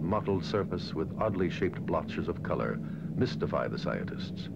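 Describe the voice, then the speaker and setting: A man reading scripted documentary narration in a measured, formal way, on a dated soundtrack. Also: low voice, gravelly, heavy background noise. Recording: dull, dated lo-fi film soundtrack. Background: music.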